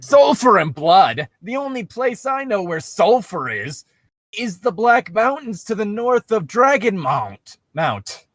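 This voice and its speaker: A man with a slightly high voice and very lively intonation, recorded dry and close. He co-hosts a comedic reading, moving between storytelling and casual, sarcastic nitpicking. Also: slow pace, no echo. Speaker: co-host of a comedic fan fiction reading show. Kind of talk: mocking read-aloud with casual commentary.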